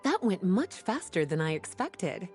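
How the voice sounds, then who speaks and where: Casting: coy, languid female librarian, anime game, mellow tone, lively intonation. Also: lowish voice, unhurried, faint music behind. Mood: teasing. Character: sultry, teasing, worldly adult female librarian.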